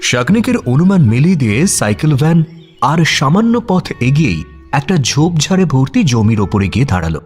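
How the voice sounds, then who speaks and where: A man's voice: medium pitch, very animated, moderate pace, soft background music. A man narrating a scripted audio story in a dramatic storytelling style.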